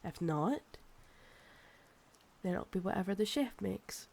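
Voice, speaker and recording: A woman's voice: mid-range voice, lively intonation, creaky, breathy edge, full character voice. A woman with a Scottish accent playing a possessive, commanding yet doting vampire in an ASMR roleplay, often whispering breathily. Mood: hushed, matter-of-fact. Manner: slow, hushed, commanding.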